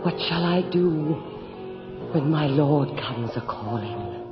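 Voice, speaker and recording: A bloodthirsty brooding countess, super slow theatrical delivery, old radio horror, echoey. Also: female voice, deep voice, expressive. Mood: brooding, eerie. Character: obsessed, bloodthirsty noblewoman pining for her dead lord. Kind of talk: 1980s radio horror drama acting. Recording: echoey, dull radio recording with music.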